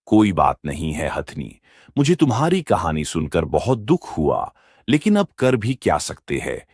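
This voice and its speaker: A man's voice: low-pitched, wildly swooping pitch, unhurried, a little mellow, slightly rough, no echo, studio quality, full character voice. A man voicing a bear moneylender in a children's moral-story cartoon, dramatic and lamenting in manner. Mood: resigned, sympathetic. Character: male bear moneylender, scheming yet remorseful. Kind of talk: Hindi animated moral story dialogue.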